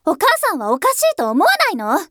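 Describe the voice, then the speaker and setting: A woman's voice: high-pitched, huge pitch swings, fast, fairly bright tone, dry recording, clean studio sound, heavily acted. A woman acting a defiant, sullen school-age daughter in a voice drama, with curt, petulant speech. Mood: protesting.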